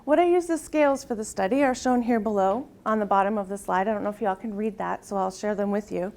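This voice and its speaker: A soft-spoken middle-aged woman giving a conference presentation, leading an audience exercise and asking "right?" often. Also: mid-range voice, fast talker. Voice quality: soft-spoken, tries to project. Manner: uses frequent rhetorical "right?" tags.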